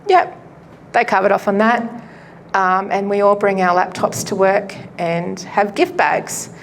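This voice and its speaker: A woman pastor giving a live presentation to an audience at a launch event, loud and chatty, often laughing and poking fun at herself, her microphone cutting in and out. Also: mid-range voice, steady tone, measured pace, creaky, slight background noise, poor audio. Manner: loud, chatty and self-mocking; laughs often while talking. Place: event venue.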